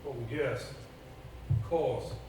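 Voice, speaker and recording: A male stage actor playing a reluctant, troubled gentleman who speaks hesitantly and earnestly, with some stammering. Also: medium pitch, extremely slow, creaky. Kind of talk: theatrical stage dialogue. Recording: very echoey, distant, noisy stage recording.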